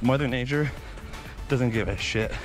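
A young man vlogging outdoors on a beach, telling animated stories while running, slightly out of breath, into a body-mounted camera. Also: mid-range voice, moderate pace, music in the background. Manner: animated, excitable storytelling with sound effects. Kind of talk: casual storytelling while jogging. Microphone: body-mounted action camera.

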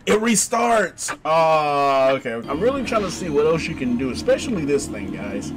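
Animated high-pitched man doing game commentary. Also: normal speed. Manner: slangy, animated, exclamatory. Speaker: gaming video host. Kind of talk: excitable let's-play commentary, reading game text aloud.

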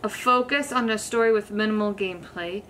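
A woman's voice: mid-range voice, a little fast, a bit bright, a little hiss or hum. A woman in her thirties chatting casually with her husband while they play a game, teasing and giggling, indoors at home. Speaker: vlog co-host, wife. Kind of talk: casual couple gaming chat. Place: home gaming room, indoors.

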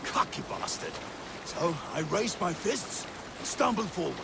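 A man playing a rough, boastful Viking raider who tells his tales in a video game, with a gravelly voice. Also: sits high, sing-song, a little slow, heavily acted. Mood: boastful, wry. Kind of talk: scripted game storytelling. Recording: clear water and boat noise.

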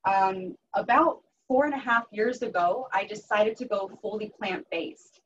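A woman's voice: medium pitch, unhurried. A woman in her thirties with a Western Pennsylvania accent, a health coach teaching a live cooking class in an upbeat, earnest way with frequent ums and restarts. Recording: distant mic, dull sound, faint noise.

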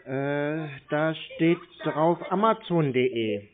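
A man from Germany stringing along a scam caller, slow and feigning confusion as he fumbles for details. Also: fairly high pitch, lively intonation. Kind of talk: playing dumb on a prank call. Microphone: phone. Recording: tinny phone line.

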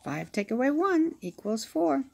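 A woman reading a children's picture book aloud, with an expressive storytelling delivery. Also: high-pitched, gravelly. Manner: slow, expressive reading with acted-out sound effects.

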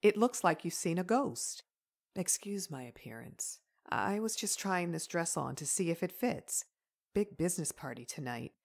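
A woman's voice: fairly low pitch, expressive, moderate pace, gravelly. A woman playing a friendly, chatty young mother in a comic dub.

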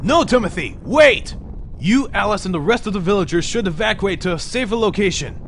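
A man acts out a village doctor from a video game, protective and commanding. His fairly high voice swings widely in pitch as he talks in formal, urgent sentences. There is faint noise behind him. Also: lively intonation, fairly quick, a bit bright. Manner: formal, precise wording, urgent. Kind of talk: fan dub acting of game drama.

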